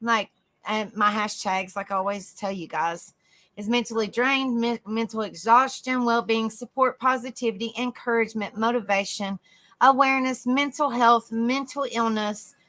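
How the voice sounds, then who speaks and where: A woman hosting a casual livestream, chatting softly with viewers by name and reading notes aloud. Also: on the high side, normal speed. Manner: soft, easygoing, chats with viewers by name. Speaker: livestream host.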